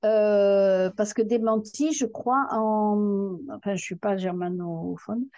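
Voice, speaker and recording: A woman taking part in a seminar, answering tentatively with many drawn-out fillers and quick agreement. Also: medium pitch, steady tone, mellow, dry recording. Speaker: seminar participant.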